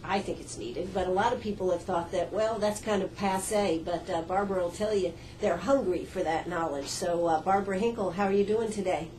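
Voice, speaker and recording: A woman's voice: fairly low pitch, fairly quick, few pauses, a little mellow, slight background noise, poor audio. A woman hosting a radio interview in an art gallery, chatty and effusive, with a folksy way of talking. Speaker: radio host.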